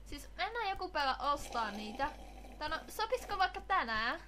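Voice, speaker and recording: A woman's voice: sits high, very animated, background noise. A woman voicing a sassy, dismissive teenage big sister with slangy, gushing speech in an amateur comedy cartoon dub. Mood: chatty, gushing.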